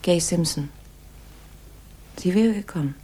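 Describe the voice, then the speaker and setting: A woman's voice: fairly low pitch, unhurried, warm tone, a little hiss or hum, lightly acted. An actress playing an earnest, remorseful, introspective woman in love, hesitant and intimate, in 1960s radio drama style. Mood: ashamed. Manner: hesitant, soul-searching, intimate.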